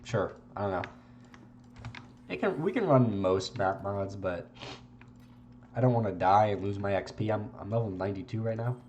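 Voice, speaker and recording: A congested young man talks through a video game build in a loose, rambling way, swearing now and then. His voice sits low, and faint room sound is behind him. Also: normal speed, long pauses, highs cut off, slight background noise. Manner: relaxed, rambling, swears casually. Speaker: game streamer. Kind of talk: casual gameplay commentary.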